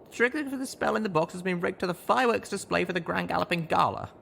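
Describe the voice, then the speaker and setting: Man putting on a high-pitched exasperated pony heroine. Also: fast, creaky, fairly bright tone, slight background noise, full character voice. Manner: emphatic, incredulous. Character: exasperated, earnest young female pony heroine. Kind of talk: acted audiobook dialogue.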